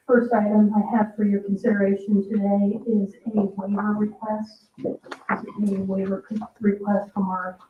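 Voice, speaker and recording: A woman on town staff formally presenting an agenda item in a businesslike way. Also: mid-range voice. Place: public meeting room. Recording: tinny phone-like sound in echoey room.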